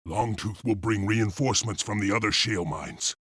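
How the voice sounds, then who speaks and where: Husky, low-voiced male rebel wolf leader. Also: moderate pace, bright, forceful tone, dry recording, studio quality. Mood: grave, urgent. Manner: commanding, resolute. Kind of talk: dramatic video game voice acting.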